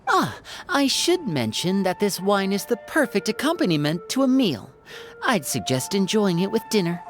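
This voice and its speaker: A woman's voice: medium pitch, very animated, normal speed, faint music behind, lightly acted. An actress voicing a composed young female adventurer in a game, speaking politely and formally.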